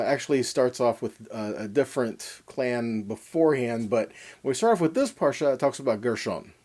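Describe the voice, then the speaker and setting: A man teaches from scripture in a soft-edged, mellow voice. His pitch moves up and down in an animated way. He explains step by step, asking questions and then answering them himself. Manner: didactic, asks and answers own questions, checks in with "okay". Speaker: teacher of a weekly Torah portion.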